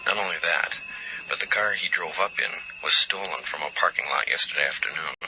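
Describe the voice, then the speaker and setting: An actor playing a businesslike, easygoing hospital colleague in a 1980s radio drama, matter-of-fact with hesitant pauses. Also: male voice, deep voice, natural voice. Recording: dull, noisy 1980s radio recording.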